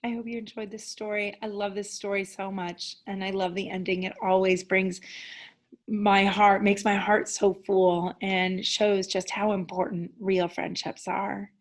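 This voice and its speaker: A woman's voice: medium pitch, level tone, measured pace. A woman teacher reading a children's story aloud expressively, leaning dramatically on key words.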